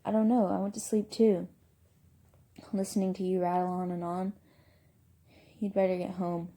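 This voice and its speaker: A woman's voice: lowish voice, flat delivery, normal speed, mellow, natural voice. A woman voicing a caring teenage boy, a loyal friend with plain, slangy speech. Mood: sleepy, casual.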